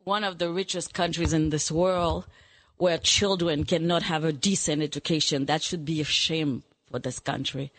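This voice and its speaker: A woman's voice: low-pitched, fairly even intonation, normal speed. A woman, a studio guest speaking emphatically and indignantly. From Haiti. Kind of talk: casual conversation.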